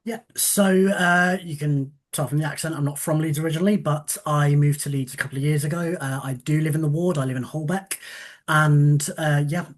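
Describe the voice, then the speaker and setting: A man's voice: a little high, steady tone, moderate pace. A man standing as a council candidate, speaking fluently with some fillers, whose accent is not from the area. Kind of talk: speaking at a public meeting.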